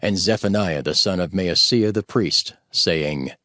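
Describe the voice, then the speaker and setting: A man narrating scripture from a script in a formal, measured storytelling manner. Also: deep voice.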